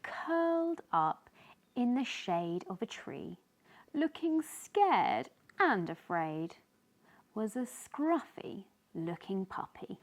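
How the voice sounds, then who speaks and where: Female children's story reader. Animated, swooping delivery at a leisurely pace. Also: mid-range voice. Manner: slow, animated, speaking to young children. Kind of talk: expressive read-aloud of a children's picture book.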